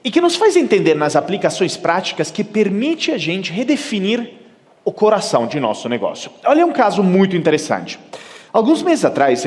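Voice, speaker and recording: Middle-aged italian guy doing a keynote, acts out the dialogue, big echoey hall. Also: a little high. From Italy. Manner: animated storytelling, acting out quoted dialogue. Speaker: keynote speaker. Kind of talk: conference keynote. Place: large conference hall. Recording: long hall reverb.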